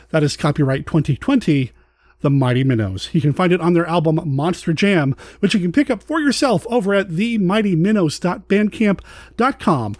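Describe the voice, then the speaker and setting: A man's voice: high voice, a little mellow, no room sound. A man hosting a podcast, talking in a casual, chatty and conversational way. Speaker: podcast host.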